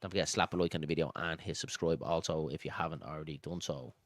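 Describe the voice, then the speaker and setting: A man's voice: rumbling low, steady tone, quick pace, no room sound. A man with a Dublin Irish accent doing live football commentary and informal, matey chat as host of a fan watchalong stream. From Dublin, Ireland. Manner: informal, matey, addresses viewers as pal and buddy.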